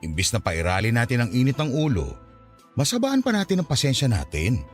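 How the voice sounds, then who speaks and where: A man's voice: mid-range voice, huge pitch swings, fairly quick, soft background music. A man playing a patient, peacemaking father and husband with a Filipino accent, placating and pleading in a radio drama. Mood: pleading, reasoning.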